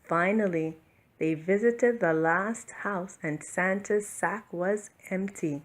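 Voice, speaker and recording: A woman narrating a children's bedtime picture book in an expressive, deliberate storytelling style. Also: fairly low pitch. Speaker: storybook reader.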